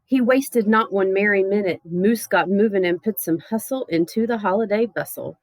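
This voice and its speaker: A woman reading a children's picture book aloud in a playful, expressive way with theatrical emphasis. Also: mid-range voice, moderate pace, no room sound. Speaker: storybook reader.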